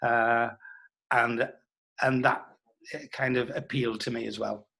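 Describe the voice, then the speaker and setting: A middle-aged man with a medium-pitched voice, reminiscing in an interview. He talks in a measured, hesitant way, working through his anecdotes piece by piece. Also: flat delivery, vocal fry, bright, forceful tone. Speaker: writer interviewed about audio drama scripts.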